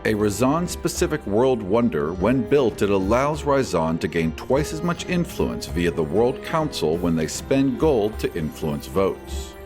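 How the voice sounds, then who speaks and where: Male podcast host, fairly low and very expressive, reading mailbag questions and prepared explanations over soft background music. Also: a little slow, hardly any pauses. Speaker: podcast host and game master answering fan questions. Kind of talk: reading fan questions and scripted answers.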